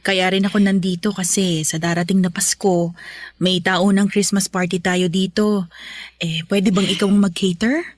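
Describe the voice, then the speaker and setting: An actress playing a generous middle-aged neighbor in a Filipino radio drama, chatty and coaxing. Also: female voice, medium pitch, little pitch movement, normal speed, no echo, studio quality, natural voice. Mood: cheerful, persuasive. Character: Tita Nemya, generous middle-aged neighbor lady, reconciling.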